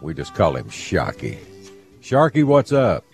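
A very deep-voiced man, hosting a radio fishing show. He talks in a relaxed, folksy way and laughs easily. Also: unhurried, husky, faint music behind. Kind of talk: casual radio chat and read ads.

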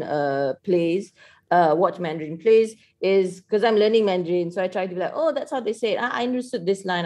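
Woman, mellow low voice, halting delivery, casual play review. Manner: hesitant, many fillers and restarts. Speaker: theatre reviewer.

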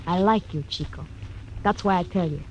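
A woman's voice: lowish voice, normal speed, a little mellow, highs cut off, slight background noise, lightly acted. An actress voicing a mysterious, teasing young Mexican woman with a Spanish accent, in 1940s radio acting style. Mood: teasing, fatalistic.

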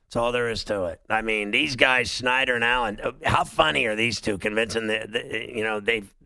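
A man's voice: mid-range voice, normal speed, vocal fry, bright, forceful tone, clean tone, dry recording. A man hosting a sports talk radio show, blunt and opinionated, rambling through fillers as he takes calls.

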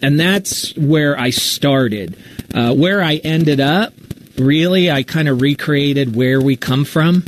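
A man with an American accent and a slightly high voice talks in a loose, informal way, like a host taking questions live. He is chatty and a little self-deprecating. There is faint noise behind him. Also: takes it slowly. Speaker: podcast writer and talk show host. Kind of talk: casual live chat answering questions. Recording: noisy live stream audio.

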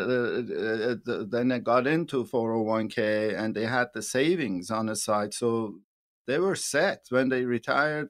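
A man's voice: mid-range voice, no room sound, studio quality. A man in his sixties, a financial advisor hosting a radio show, chatting casually with plenty of fillers and repeated words. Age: 60s.

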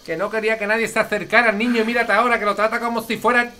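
A woman's voice: fairly high pitch, level tone, racing, sharp tone, a little hiss or hum. An actor voicing a scolding but affectionate old village grandmother with an Andalusian accent, folksy and exclamatory, in an audio family comedy. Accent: Andalusian Spanish.

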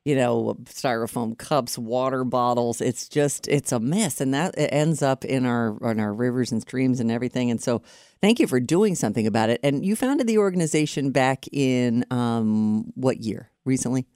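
A woman's voice: a bass voice, normal speed, mellow, no room sound, clean studio sound. A woman hosting a radio interview in a conversational broadcast style. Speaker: radio show host.

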